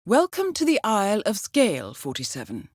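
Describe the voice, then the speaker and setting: Female spy handler, video game briefing, cool-headed, polished, dryly witty, expressive intonation, dry studio sound. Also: medium pitch, no room sound. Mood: composed, businesslike. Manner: measured, composed, precise, understated. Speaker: mission handler briefing an agent.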